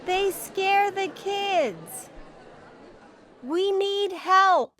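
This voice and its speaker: A woman's voice: extremely high pitch, expressive, drawn-out words, fairly bright tone, a faint crowd, full character voice. A woman playing a worried townswoman in a children's storybook, enunciating carefully. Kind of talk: slow bilingual storybook dialogue.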